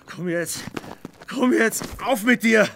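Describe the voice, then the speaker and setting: A man's voice: sits high, slow pace, gravelly, fairly bright tone, slight background noise, heavily acted. An actor playing a desperate man urging himself on in a radio drama.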